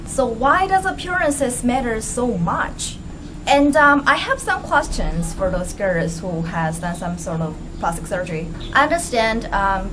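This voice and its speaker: A woman's voice: on the high side, noisy background. Blunt, tired young woman vlogging. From ethnically Korean. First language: Chinese. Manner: blunt, candid, emphatic. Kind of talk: casual opinion vlog. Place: small indoor room, plain wall.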